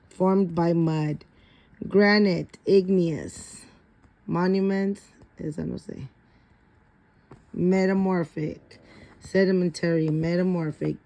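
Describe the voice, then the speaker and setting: Slow-paced woman reading a picture book aloud. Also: fairly low pitch, creaky, mellow. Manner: stumbles over harder words and corrects herself. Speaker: story reader.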